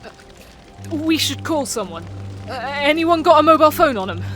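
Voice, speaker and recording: A man's voice: extremely high pitch, lively intonation, moderate pace, pushed and bright, soft background music, exaggerated voice. A man playing a frantic, blunt, sweary teenage boy in an audio drama. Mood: tense, blunt. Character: panicked, sweary British teenage boy searching for sister.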